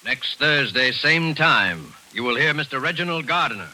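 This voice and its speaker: A male announcer closing a 1940s radio drama in a slow, declamatory style. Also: a little high, expressive, very noisy. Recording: old radio broadcast with loud music.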